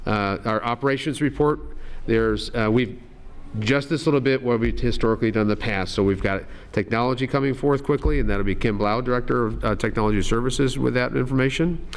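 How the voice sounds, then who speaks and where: A man, a school district administrator, runs a public board meeting in a meeting room, talking in a friendly, halting way with many "uh"s and restarts. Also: medium pitch.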